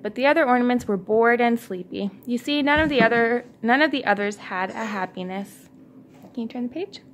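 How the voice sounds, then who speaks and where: A mother reading a storybook aloud to her young child at home, in an encouraging way. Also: on the high side. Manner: encouraging, asks the child questions. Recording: home recording with faint background noise.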